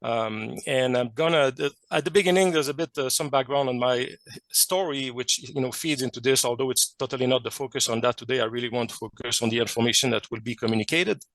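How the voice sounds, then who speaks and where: A man's voice: mid-range voice, fairly even intonation, fast talker, a bit bright, no echo, poor audio. A man from Quebec with a French Canadian accent, French as his first language, giving a technical presentation over a video call with many fillers and restarts.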